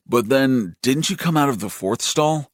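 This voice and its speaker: A patient, protective big brother, played by a male audiobook reader, speaks slowly in a middle register. His voice is coaxing and reassuring, with lively swings in pitch. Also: no echo, clean studio sound, lightly acted. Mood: exasperated, coaxing. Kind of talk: audiobook character voice.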